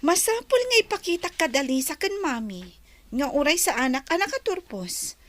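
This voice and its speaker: A woman's voice: high voice, very animated, normal speed, fairly bright tone, dry recording. A woman playing a resentful, ambitious young daughter in a Filipino radio drama, speaking emphatically and defiantly. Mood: determined.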